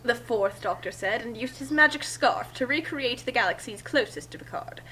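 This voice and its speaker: A woman's voice: on the high side, lively intonation, moderate pace, sharp tone, a little hiss or hum. A woman narrating a story aloud in a dramatic reading, breaking off for casual commentary.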